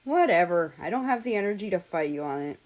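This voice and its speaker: A woman's voice: fairly low pitch, animated, quick pace, natural voice. A woman voicing an easygoing, tired and teasing male driver on a road trip in a story reading. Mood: playful. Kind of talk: character dialogue in a story reading.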